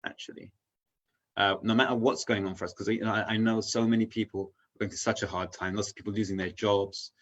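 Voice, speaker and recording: The recording is a little muffled and lo-fi. A man with a lowish voice talks as a guest in a relaxed conversation. He is earnest and philosophical, rambling through his thoughts and checking that he is followed. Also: quick pace. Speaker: interview guest. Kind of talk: casual reflective conversation.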